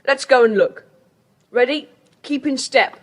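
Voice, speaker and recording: A woman playing an eager, bossy schoolboy who takes charge in a children's audio drama. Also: high voice. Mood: urgent. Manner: brisk, take-charge. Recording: faintly hissy cassette tape.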